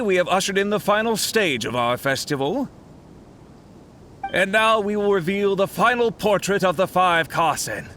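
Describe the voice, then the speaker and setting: An actor playing an eager, formal festival announcer in an anime-style video game, addressing a gathering. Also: male voice, high-pitched, pushed and bright, a little hiss or hum, heavily acted.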